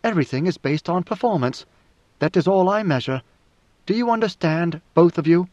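A man voicing a cool, commanding young woman rebel leader in an audiobook, measured, imperious and exacting. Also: high voice, animated, darkish tone, voice pushed up. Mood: firm, questioning. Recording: roomy, slightly muffled recording.

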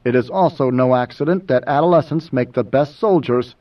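Man playing a measured, commanding older majordomo, distant sound. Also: mid-range voice, muffled recording, lightly acted. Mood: mild lecturing. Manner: measured, lecturing, cutting. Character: quietly commanding older male majordomo, smoldering, world-weary.

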